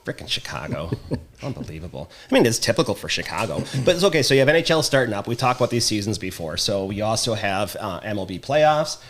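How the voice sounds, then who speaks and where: A man's voice: fairly low pitch, sing-song, fast, a bit bright. A middle-aged man, hosting a casual podcast with laid-back, joking banter. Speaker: podcast host.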